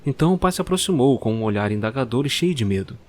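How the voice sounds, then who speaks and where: A man narrating a dark Christmas fairy tale slowly and dramatically. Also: medium pitch, animated, slight background noise. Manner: slow, dramatic storytelling. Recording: clear music bed under the voice.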